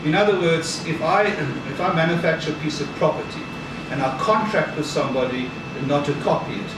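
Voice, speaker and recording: A man in the audience works through a legal point step by step. His voice is a little high and sounds distant. Strong echo and steady noise fill the recording. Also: brisk. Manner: methodical, argumentative. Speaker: audience member. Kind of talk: Q&A discussion. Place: seminar hall. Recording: echoey hall, distant mic, noise.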